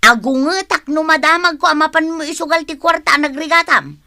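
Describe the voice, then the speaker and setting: An actress playing a practical, caring, nagging family breadwinner in a radio drama, chiding and insistent. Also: female voice, sits high, a little fast, pushed and bright. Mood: coaxing. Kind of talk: Ilocano radio drama acting.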